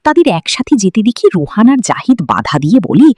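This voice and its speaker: Woman reading a love story out loud, pitch swinging all over, really dry recording. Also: very animated, a little fast, no room sound. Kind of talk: expressive narration of a romantic story with character dialogue.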